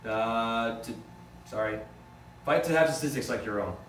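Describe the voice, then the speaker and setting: A man's voice: medium pitch, normal speed, natural voice. A man reading the part of an accused businessman, blunt and resigned, in an amateur script table read. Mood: businesslike. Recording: distant, echoey room with faint noise.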